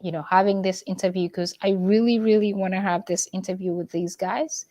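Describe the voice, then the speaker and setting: A woman's voice: medium pitch, steady tone, fairly quick, mellow, no room sound. A woman from Uganda hosting a casual livestream, speaking hesitantly with many fillers and restarts. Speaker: livestream host.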